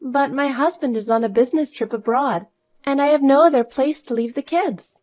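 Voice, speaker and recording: A woman's voice: high voice, normal speed, darkish tone, faint music behind, pitched above the performer's own voice, natural voice. A woman voicing an insistent, pleading mother in an acted family story. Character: pleading, inconsiderate adult mother asking for childcare.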